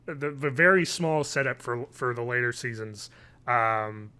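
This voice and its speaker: A man, chatting casually and haltingly as a podcast co-host from home. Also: medium pitch, sharp tone, smooth. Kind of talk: casual review chat. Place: home living room, video call.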